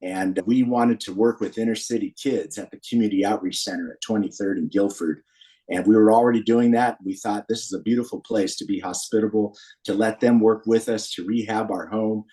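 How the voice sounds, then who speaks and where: A man's voice: lowish voice, steady tone, brisk, dark tone. An American man in his late sixties telling personal stories as a podcast guest in an earnest, anecdotal way. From Berkeley, California, USA. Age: 60s. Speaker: podcast guest, founder of a men's community group. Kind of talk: personal storytelling in a podcast interview.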